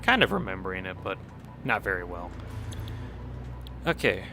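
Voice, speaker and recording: A man's voice: sits low, sing-song, moderate pace, gravelly, background noise. A man streaming a game, chatting casually and rambling as he plays, a bit nasal from a cold. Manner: rambling, reacts to chat, chuckles often. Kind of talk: casual commentary while playing. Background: game music playing.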